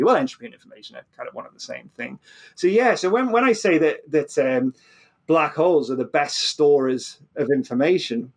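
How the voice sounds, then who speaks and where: A man explaining physics conversationally as an interview guest, often repeating words and restarting mid-phrase. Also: mid-range voice.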